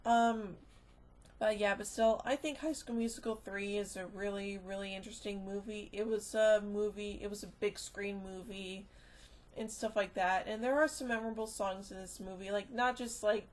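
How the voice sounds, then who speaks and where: Rambling, halting woman reviewing casually. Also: mid-range voice, steady tone. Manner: rambling and halting, trailing off into filler phrases. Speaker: movie reviewer. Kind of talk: casual unscripted video review.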